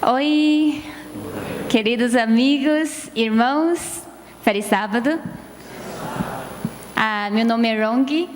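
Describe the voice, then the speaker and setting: A woman's voice: a little high, extremely slow, pauses often. A young woman from China with a Chinese accent, a student speaking hesitantly and searching for words as she tells her story. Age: young adult. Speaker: international student guest. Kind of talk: hesitant testimony. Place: church sanctuary. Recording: long church hall reverb, faint noise.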